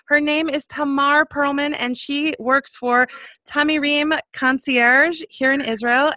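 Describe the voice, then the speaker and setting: Bright, upbeat female podcast host. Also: sits high, dry recording. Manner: friendly, quick to laugh. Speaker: podcast host, professional organizer. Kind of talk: podcast interview.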